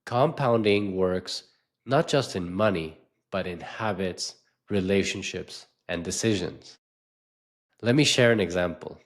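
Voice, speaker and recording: A man delivering a scripted motivational talk as an investor and coach, in a measured, emphatic way with deliberate pauses. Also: sits low.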